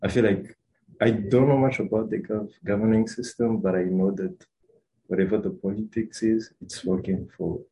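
Low dark-voiced young man, hesitant. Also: fairly even intonation, moderate pace, a little hiss or hum, low-quality recording. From Rwanda. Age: young adult. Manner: hesitant, many fillers and restarts. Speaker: interview guest, student. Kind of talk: casual interview answers.

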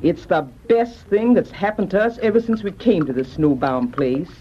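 Kindly, folksy frontier wife played by a woman, animated delivery, vintage radio drama, muffled old recording. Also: lowish voice, normal speed, a little hiss or hum, natural voice. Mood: cheerful, excited. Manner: folksy, rustic exclamations and phrasing.

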